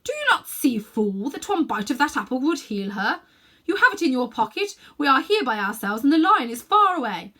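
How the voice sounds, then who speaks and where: A woman's voice: sits high, sing-song, normal speed, sharp tone, voice pushed up, full character voice. Lady doing a scornful tempting witch for a kids book, raised voice, pushy and imperious. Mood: urgent coaxing. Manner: imperious, insistent persuasion. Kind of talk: children's storybook character voice.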